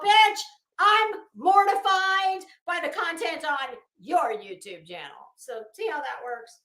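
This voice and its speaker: A woman hosting a casual livestream commentary, with a sarcastic, mocking, profane way of talking. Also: high voice. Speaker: livestream host. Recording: echoey untreated room.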